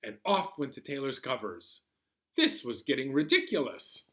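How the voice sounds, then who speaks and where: A man telling a children's story as a library storyteller, dramatically, with pauses and drawn-out words. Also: mid-range voice, sing-song, sharp tone.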